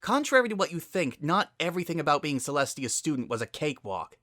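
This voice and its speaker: A man acts out a guilty younger sister, a female unicorn pony in a storybook drama. The voice sits high and tense, blunt and defensive with plenty of emotion. The recording is dry. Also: sing-song, quick pace, pushed and bright, dry recording. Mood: upset, heated.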